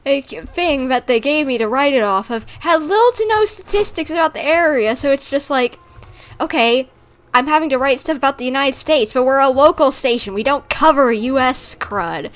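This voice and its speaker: Female game streamer, slightly high voice, casual and rambling, given to animated complaining. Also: normal speed. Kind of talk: casual livestream chat while gaming.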